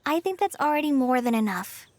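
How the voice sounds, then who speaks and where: An actress playing a gentle, humble young woman in a video game, speaking in an earnest, polite and hesitant way. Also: high-pitched, very animated, fairly quick, slight background noise.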